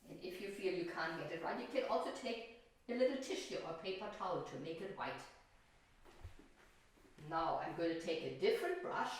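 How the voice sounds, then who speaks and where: A very echoey, distant recording of a woman teaching children to paint. Her voice sits slightly low and moves with lively intonation. She is gentle and encouraging, addressing viewers in simple words as she demonstrates. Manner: encouraging, talking to viewers with simple questions and remarks. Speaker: art teacher. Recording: very roomy, echoey, distant microphone.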